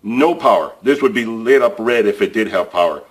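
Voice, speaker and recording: A man with a fairly low voice talks through a repair as he works. He sounds relaxed and unscripted, thinking aloud with fillers. He is recorded in an indoor workshop, off-camera behind an overhead view of the bench. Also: a little fast. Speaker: DIY repair channel host. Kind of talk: casual hands-on repair tutorial. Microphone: camera overhead of workbench, voice off-screen.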